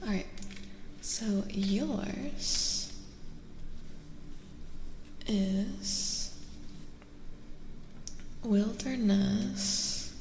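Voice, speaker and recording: A woman streaming a soft-spoken ASMR card reading, chatting casually and gently in a breathy voice that often drops to a whisper, repeating words soothingly. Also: mid-range voice, very slow, pauses often, roomy sound, in a large hall, a little hiss or hum, rough recording. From Eastern Europe. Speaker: streamer host giving tarot readings.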